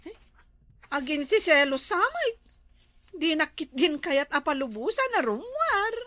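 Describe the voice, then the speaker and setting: A woman playing a sociable, nagging, jealous wife in an Ilocano village comedy drama, speaking with many drawn-out exclamations. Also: high voice, very animated, bright, forceful tone, heavily acted. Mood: complaining, flattered.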